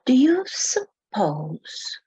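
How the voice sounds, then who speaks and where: An actress playing a curious, probing woman with halting, inquisitive speech in a radio drama. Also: high voice, wildly swooping pitch, mellow, slightly rough, highs cut off, exaggerated voice.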